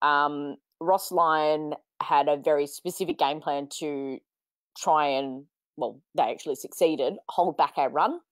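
Australian woman, croaky voice, low pitch, dry sound, measured sports talk. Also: no room sound. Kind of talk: casual sports discussion.

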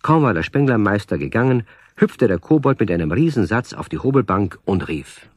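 A man narrating a scripted children's radio story as a storyteller, with a husky voice. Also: fairly low pitch, very animated, a little fast, no echo.

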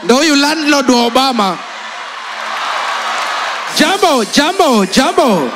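A man with a Kenyan accent, hosting live comedy banter in a loud, teasing way on a stage microphone. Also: extremely high pitch, big pitch swings, takes it slowly, crowd noise, noisy background. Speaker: comedy show host. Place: large night-time open-air stage show. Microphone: handheld microphone. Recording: hall reverb, music behind, live stage PA.

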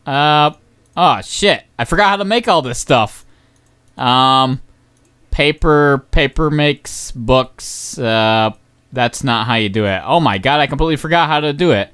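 Young male game commentator, smooth voice, hoarse, rambling and excitable, many ums. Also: medium pitch, a little slow. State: voice going hoarse. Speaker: gaming channel host. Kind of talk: casual gameplay commentary.

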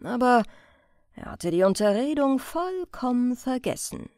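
Slow-paced, expressive woman narrating an audiobook. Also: on the high side, no room sound, studio quality. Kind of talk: scripted audiobook narration of a crime story.

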